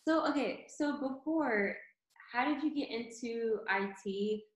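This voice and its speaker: A woman's voice: fairly high pitch, unhurried, roomy sound, low-quality recording. A woman host who asks questions eagerly and fills her speech with "like". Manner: enthusiastic, many "like" fillers. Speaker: interviewer, podcast host. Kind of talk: casual interview talk.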